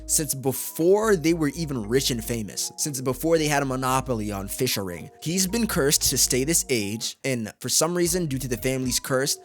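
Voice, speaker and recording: A man's voice: medium pitch, animated, normal speed, hardly any pauses, faint music behind. A man reading a visual novel aloud as a streamer, mixing dramatic narration with casual commentary, his voice a little tired. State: voice tiring.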